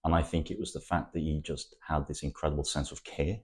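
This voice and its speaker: A man from Wales whose first language is Welsh, an architect giving thoughtful, poetic interview answers with frequent pauses and restarts. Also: a bass voice, fairly even intonation, husky.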